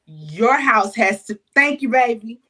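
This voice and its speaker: Bright, confident woman near forty. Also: a little high, expressive, a little slow. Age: 30s. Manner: confident, playful, emphatic. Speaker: podcast co-host. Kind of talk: casual chat.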